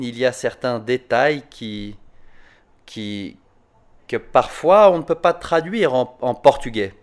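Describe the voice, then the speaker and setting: A man speaks at an online conference in an ordinary mid-range voice. He talks in a halting, thoughtful way, repeating words as he searches for them and tagging sentences with little verbal tics. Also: mid-range voice. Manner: hesitant, repeats words, frequent "hein". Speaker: psychoanalyst answering conference questions.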